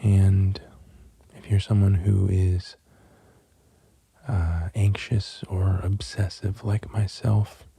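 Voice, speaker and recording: Man, soft ASMR delivery, low-pitched, flat intonation, breathy near-whisper, gentle pauses, close dry mic. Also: no echo. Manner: gentle, unhurried, halting with long pauses. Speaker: host of an ASMR channel. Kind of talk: soft-spoken ASMR, musing and poem reading. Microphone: close microphone.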